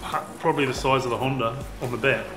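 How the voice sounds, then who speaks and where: A young man with a New Zealand accent, chatting casually about a fishing trip, relaxed and full of fillers. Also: mid-range voice, fast. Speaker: fisherman. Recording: roomy sound with music behind.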